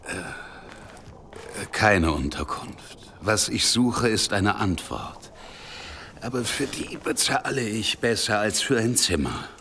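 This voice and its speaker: A gruff, sharp-edged medieval armed leader. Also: male voice, lowish voice, expressive, slow pace, slight background noise, heavily acted. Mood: cool, domineering. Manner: curt, domineering, coarse. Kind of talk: radio drama acting.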